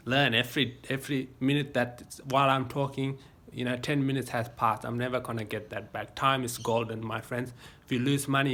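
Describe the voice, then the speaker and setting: Australian male vlogger, phone mic, tense bright tone, loose rambling delivery. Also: medium pitch. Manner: rambling, folksy advice with many fillers and restarts. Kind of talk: casual rambling monologue to a phone camera. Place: home gym. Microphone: phone camera.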